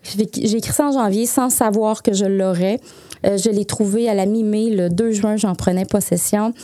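A middle-aged woman from Lac-Saint-Jean with a Quebec French accent, chatting as an interview guest about her work and travel plans, animated and full of fillers. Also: mid-range voice, moderate pace. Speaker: guest, essential oils consultant. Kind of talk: casual conversational interview.

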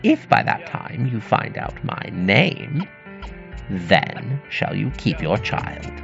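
A man voicing a spiteful, gleeful little mannikin from a fairy tale, taunting with drawn-out exclamations. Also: lowish voice, big pitch swings, gravelly, highs cut off, over music, heavily acted. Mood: bargaining.

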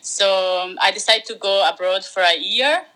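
Bright-voiced young woman guest. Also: medium pitch, normal speed, low-quality recording. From Italy. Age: young adult. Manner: relaxed, loosely strung sentences with fillers. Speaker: podcast guest, art graduate. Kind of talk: casual conversational interview.

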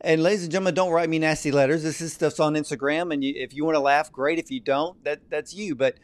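A man's voice: on the high side, steady tone, quick pace. A man hosting a conversational interview, speaking emphatically and addressing his listeners directly. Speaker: interview host.

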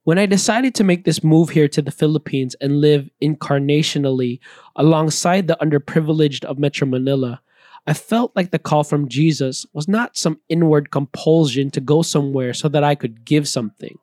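A man reading a scripted, reflective personal talk in an earnest, measured way. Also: on the high side, darkish tone, no room sound, clean studio sound. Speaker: missionary speaker.

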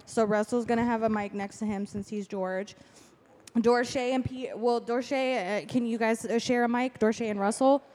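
A woman's voice: fairly high pitch, steady tone, moderate pace, a little mellow. A woman hosting an improv podcast casually, directing and organizing the people around her. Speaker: host of an improv radio-play podcast.

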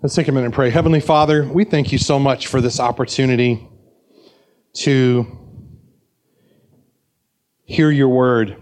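A man's voice: medium pitch, steady tone, measured pace, pauses often, a little mellow. A man preaching a sermon at a church service, chatty and joking, with frequent fillers and restarts. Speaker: pastor. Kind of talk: sermon with anecdotes.

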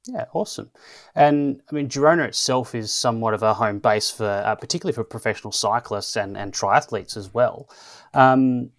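A man hosting a podcast, introducing a guest in a relaxed way with many pauses and restarts. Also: medium pitch, no echo, clean studio sound. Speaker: podcast host. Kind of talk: casual interview chat.

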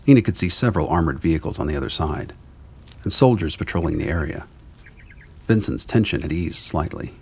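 A man's voice: very deep voice, animated, a little fast, husky. A man reading scripted dramatic narration for a radio play.

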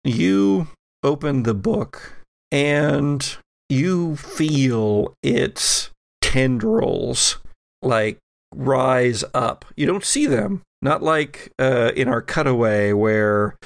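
A man narrating a horror tabletop game as game master, in a measured, descriptive storytelling manner. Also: mid-range voice, gravelly, no room sound, studio quality.

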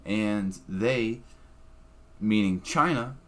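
A young comedian talks on a podcast in a low voice, wandering from point to point. He swears freely and restarts his sentences often, with a loose, casual delivery. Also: extremely slow. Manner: rambling, profane, restarts often.

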